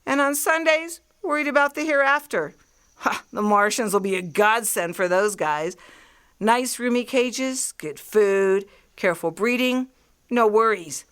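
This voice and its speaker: A woman performs a scheming, fanatical artillery woman for an audio drama. Her voice sits fairly high and cuts through sharp and intense. She rants with cocky, folksy, colloquial swagger. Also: measured pace, smooth. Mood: scornful, cocky. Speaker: acting class student.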